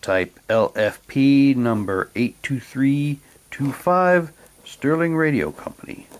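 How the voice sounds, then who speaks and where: A man doing a casual unboxing, talking in a halting way and reading packaging text aloud like a hammy radio announcer. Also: medium pitch. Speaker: vlogger unboxing a collectible audio drama CD.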